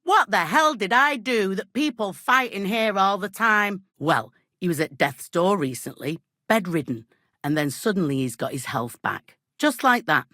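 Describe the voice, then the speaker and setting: An actress playing a brusque, gossipy, world-weary medieval village innkeeper in a video game, talking in blunt, earthy, scolding phrases. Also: female voice, medium pitch, lively intonation, normal speed, bright, forceful tone, no echo, studio quality. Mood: exasperated. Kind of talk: video game character dialogue.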